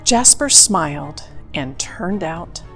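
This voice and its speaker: A woman's voice: lowish voice, expressive, takes it slowly, fairly bright tone. A woman reading a children's picture book aloud expressively, drawing out spooky words for drama. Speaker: storyteller. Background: background music.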